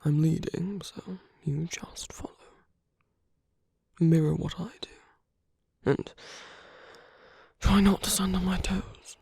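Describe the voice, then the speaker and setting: Guy doing a smooth flirty villain for asmr, soft half whisper w some creak, dry close sound, teasing and coaxing. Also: sits high, fairly even intonation, takes it slowly, pauses often, no echo, heavily acted. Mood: playful, reassuring. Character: suave flirtatious male villain wooing a hero at a masquerade. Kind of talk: ASMR roleplay, intimate scripted monologue.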